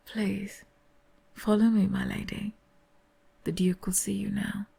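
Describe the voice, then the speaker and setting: A woman playing a polite, sly servant maid in an ASMR audio drama, soft-spoken and often whispering. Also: mid-range voice, gravelly, husky, full character voice.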